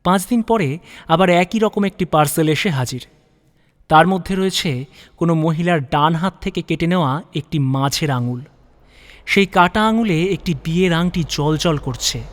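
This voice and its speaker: A man's voice: a little high, brisk. A man narrating a detective story in a dramatic, scripted style. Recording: long reverb on voice.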